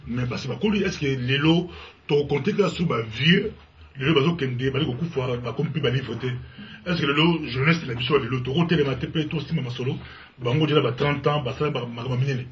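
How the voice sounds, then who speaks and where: A man's voice: medium pitch, a little hiss or hum. Guy interviewing, lots of filler, stop-start, muffled and far away. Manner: halting, full of filler words. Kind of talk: interview questions.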